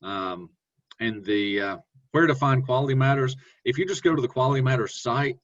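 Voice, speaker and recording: A man presenting a webinar on online teaching, talking in a relaxed, conversational way with fillers and self-corrections. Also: normal speed. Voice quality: deep voice. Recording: dull lo-fi webinar audio.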